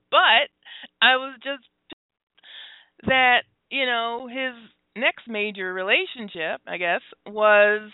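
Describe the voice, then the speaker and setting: A woman in her forties hosting a phone-in radio talk show, talking openly about her own life with many pauses, fillers and laughs. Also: on the high side, sing-song, no echo. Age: 40s. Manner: open and confessional, halting with fillers, laughs often. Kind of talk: candid phone-in radio chat. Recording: dry, tinny phone-like sound.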